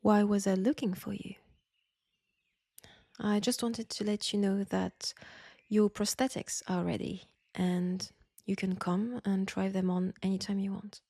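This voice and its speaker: Soft, mellow French nurse, acted by a woman. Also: mid-range voice, level tone, unhurried, dry recording, clean studio sound. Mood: tender. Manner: soft, breathy, gently coaxing. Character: caring, firm young French WWI army nurse. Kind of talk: intimate roleplay audio acting.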